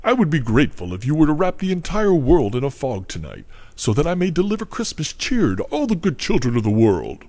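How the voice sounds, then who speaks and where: A man's voice: on the high side, huge pitch swings, brisk, hardly any pauses, a little mellow, no echo, heavily acted. A man playing an aggrieved, earnest old Santa Claus in a comic audio play, speaking theatrically. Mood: earnest pleading. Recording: clearly noisy background.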